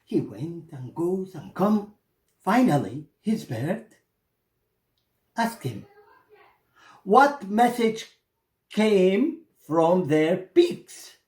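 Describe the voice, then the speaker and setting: Male storyteller, Iraqi Arabic accent, very slow deliberate pacing, dramatic theatrical reading, echoey room. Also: high-pitched, big pitch swings, a little mellow. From Iraq. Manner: dramatic, repeating phrases. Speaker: storyteller, actor.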